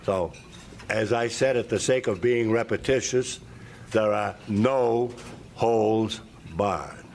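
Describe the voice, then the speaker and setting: Gravelly man, very slow and deliberate, formal address. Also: fairly low pitch, darkish tone, slight background noise. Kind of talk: formal public statement.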